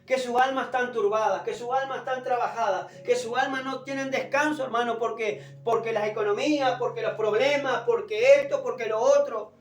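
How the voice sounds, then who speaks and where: Preacher, sore strained throat, forceful exhorting delivery in a roomy space, unamplified and distant. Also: medium pitch. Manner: emphatic, exhorting, repeats phrases and addresses listeners as brother. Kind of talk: fervent evangelical sermon. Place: echoing room, preaching unamplified. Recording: roomy, distant pickup without a microphone, music behind.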